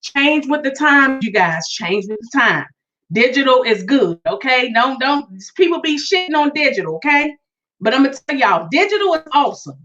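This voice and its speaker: Woman in her forties, high bright voice, animated preachy podcast rant, dull lo-fi sound. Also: moderate pace. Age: 40s. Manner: animated, preachy, addresses listeners directly. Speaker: podcast host. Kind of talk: casual solo podcast rant.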